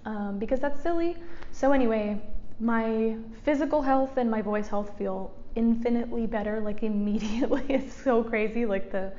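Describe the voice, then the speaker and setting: A chatty young woman talks to her viewers through a desk mic at home. She rambles, laughs a lot, and speaks in a soft, resonant voice. The sound is a little dull, with a faint background hiss. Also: a little high, moderate pace. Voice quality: soft resonant speaking voice after speech therapy. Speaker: YouTuber. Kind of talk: chatty get-ready-with-me vlog. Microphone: desk mic in front of her.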